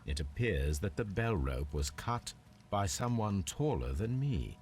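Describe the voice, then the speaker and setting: An actor playing a shrewd, composed Victorian English detective in a video game, with a British accent and a measured, precise, formal manner. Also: male voice, on the low side, very animated, darkish tone, slight background noise. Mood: measured, analytical. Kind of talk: video game acting, observations spoken to himself.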